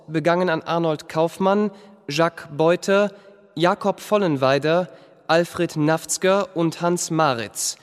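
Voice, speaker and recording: An actor in a radio play as a formal, impassive official reading out a decree in measured lists. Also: male voice, high-pitched. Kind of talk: scripted document reading in radio drama. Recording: long echoing hall reverb.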